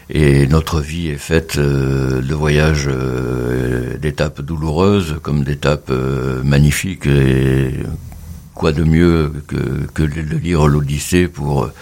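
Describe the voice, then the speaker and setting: A man from around the Mediterranean, a photographer giving a radio interview, speaking haltingly with many pauses and fillers. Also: rumbling low, a little mellow. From Mediterranean, between Tunisia and Marseille. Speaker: photographer, guest.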